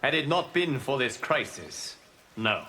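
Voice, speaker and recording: An actor playing a solemn fire god and guardian in a video game, speaking in a measured, formal, cryptic way. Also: male voice, medium pitch, very animated, heavy background noise. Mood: grave, counseling.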